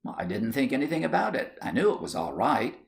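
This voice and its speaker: A man's voice: medium pitch, very animated, brisk, gravelly, pitched above the performer's own voice. A man voicing a placid, stolid husband in a storybook tale, dry and matter-of-fact. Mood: dryly dismissive.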